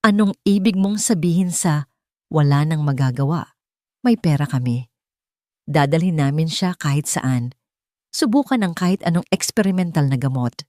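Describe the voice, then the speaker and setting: Filipino lady doing a proud defiant rich dad in an audiobook, insistent, pitch moving a lot, dry sound. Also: lively intonation, normal speed, darkish tone, no echo. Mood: defiant, disbelieving. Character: proud defiant businessman father refusing limits.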